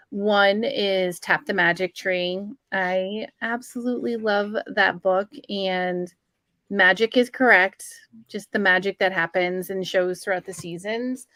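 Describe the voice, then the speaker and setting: A woman's voice: medium pitch, a bit bright, no echo. Woman chatting in a dry, close recording. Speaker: guest recommending interactive children's books. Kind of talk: casual conversation.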